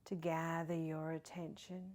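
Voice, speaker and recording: A woman leading a guided meditation in a soft, breathy, partly whispery voice, soothing and gentle with long pauses. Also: low voice, little pitch movement. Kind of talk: guided body scan meditation.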